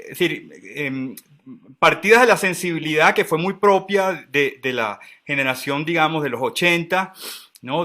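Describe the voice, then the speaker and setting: A man, a literary scholar on an online panel, asking questions in a halting academic way with many fillers and tag questions. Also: fairly high pitch, sharp tone.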